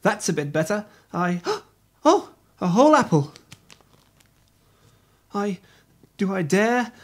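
A man's voice: high-pitched, big pitch swings, takes it slowly, voice pushed up, full character voice. A man voicing a tired, hungry woman down on her luck who grumbles to herself. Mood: hopeful. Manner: grumbling, self-pitying.

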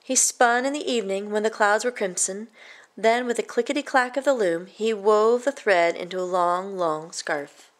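A woman storyteller reading a children's picture book aloud in a measured, expressive way. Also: medium pitch.

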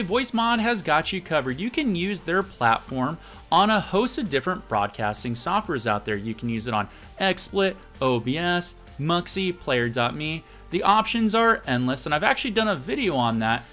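A man in his thirties with a fairly high voice hosts a software tutorial. His delivery is casual and upbeat, like an online presenter walking viewers through each step. Music plays behind him. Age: 30s. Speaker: YouTube tutorial host. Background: background music.

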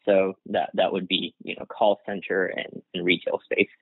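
A man's voice: deep voice, level tone, normal speed, husky, no room sound. A man whose first language is Gujarati, answering interview questions casually, with frequent restarts and fillers and light laughs. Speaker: guest, workplace psychologist. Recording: tinny phone-like line, dry.

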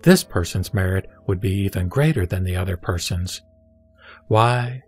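A man playing the Buddha, a solemn spiritual teacher, reciting scripture in a measured audio drama delivery. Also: deep voice, lively intonation, faint music behind. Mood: solemn, instructive. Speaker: scripture reader.

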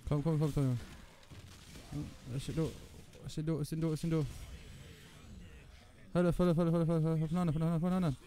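A man with a Luxembourgish accent giving casual gameplay commentary, excitable and repeating words in quick bursts. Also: fairly high pitch. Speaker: gamer commentating own play.

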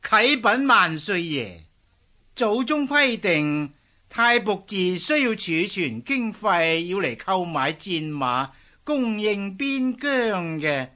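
Fawning, swooping palace eunuch. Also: male voice, high voice, takes it slowly, full character voice. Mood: deferential, persuasive. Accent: Hong Kong Cantonese. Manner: obsequious, flattering. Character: scheming, fawning male palace eunuch serving the emperor. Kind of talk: Cantonese historical radio drama acting.

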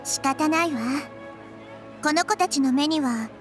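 A woman playing a small, wise girl deity in a Japanese anime game, calm and gentle, speaking in a measured, explanatory way. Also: high voice, music in the background. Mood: calm, concerned.

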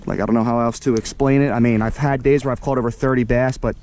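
Mellow-voiced American guy vlogging from a kayak. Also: mid-range voice, fast talker, a little hiss or hum. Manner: excitable, slangy, talks to viewers as "guys". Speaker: fishing vlogger. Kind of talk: casual running commentary to camera. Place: kayak on a lake, outdoors. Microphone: action camera mounted on the kayak.

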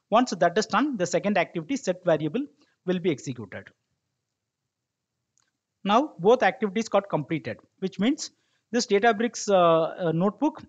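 A man narrating a software tutorial step by step as an instructor, explaining and checking that listeners follow, in a lo-fi recording. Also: high-pitched. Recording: dull lo-fi microphone sound.

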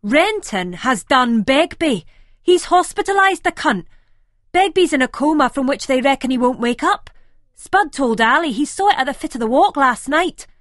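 A woman doing a frantic shouting Scottish man. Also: medium pitch, a little slow, slightly rough, no echo, clean studio sound.

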